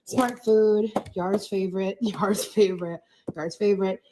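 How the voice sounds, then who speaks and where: A woman narrates a travel vlog with bubbly excitement and frequent giggles. Her voice sits in a middle pitch. Faint noise runs behind her. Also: normal speed. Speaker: vlogger.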